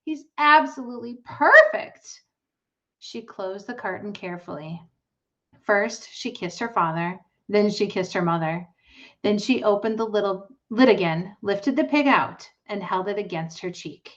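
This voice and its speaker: A woman narrates a children's book with deliberate, careful phrasing. Her pitch is medium, and the lo-fi recording sounds slightly muffled. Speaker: storybook reader. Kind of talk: scripted children's story narration.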